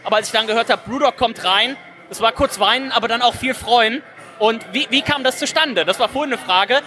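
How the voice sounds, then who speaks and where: A man's voice: extremely high pitch, brisk, pushed and bright, soft background music. A man interviewing a guest, speaking fluently and asking long, enthusiastic questions.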